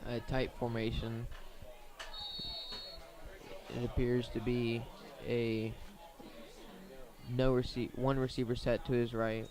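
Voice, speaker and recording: A man with a medium-pitched voice commentates on high school football for radio. His intonation stays fairly even and narrow. He lays out each play step by step in a measured manner, leaving pauses as he goes.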